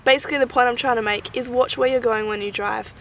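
A woman's voice: on the high side, steady tone, quick pace, fairly bright tone, a little hiss or hum. A young Australian woman giving casual, enthusiastic wildlife commentary in a gushing, chatty way with informal slang. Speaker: wildlife vlogger.

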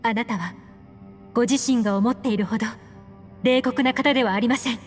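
A woman playing a composed, principled young advisor in an anime-style game, speaking politely and reasoning in a firm, measured way. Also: fairly high pitch, natural voice. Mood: earnest, reproving. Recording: reverberant, with music behind.

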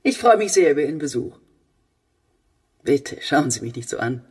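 Fussy, husky middle-aged mother. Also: sits low, expressive, normal speed, darkish tone, recorded from a distance. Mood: chatty, wistful. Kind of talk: German radio play acting.

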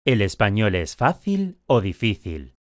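Low-voiced man reading drill questions. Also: wildly swooping pitch, dry recording, studio quality. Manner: slow, clearly articulated statements and questions. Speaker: language course speaker.

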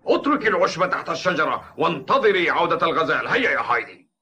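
Animated old grandfather, quick and bright. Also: fairly high pitch, dull audio, exaggerated voice. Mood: calm, advising. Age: older. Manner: instructive, patient explaining. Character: gruff but caring old mountain grandfather. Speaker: grandfather character in a cartoon dub. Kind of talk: dubbed cartoon acting.

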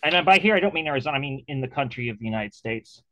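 A man's voice: medium pitch, expressive, racing, pushed and bright. A man hosting an interview over a video call, talking casually and animatedly, with many restarts and fillers. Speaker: interview host.

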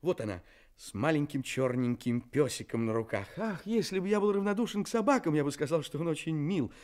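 A man's voice: a little high, animated, a little fast, darkish tone, lightly acted. Actor as a theatrical male storyteller, widely swooping intonation. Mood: mysterious, inviting. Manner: theatrical, courteous, addressing listeners directly. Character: theatrical, mysterious, courteous storyteller afraid of dogs. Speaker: narrator, storyteller host. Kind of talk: Soviet radio play storytelling for children. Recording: studio radio play with music.

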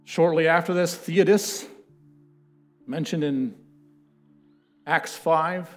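A man in his thirties delivers a sermon from a church stage, his fairly high voice rising and falling as he tells the story. Faint music sits under his voice. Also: slow. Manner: animated storytelling, building to loud chanted quotes. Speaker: pastor. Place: church stage with a lectern, large indoor room.

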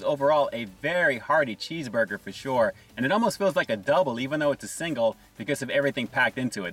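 A man in his forties reviewing fast food from inside a parked car, upbeat and enthusiastic, talking to viewers. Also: mid-range voice, fairly bright tone, husky, recorded from a distance, low-quality recording. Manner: upbeat, enthusiastic, often says "guys".

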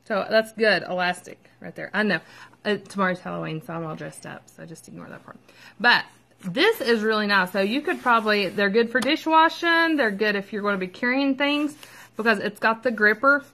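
A woman's voice: mid-range voice, moderate pace, vocal fry, pushed and bright, a little hiss or hum. A woman casually reviewing a product at home, chatty and upbeat, rambling with fillers and asides. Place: at home with her child nearby.

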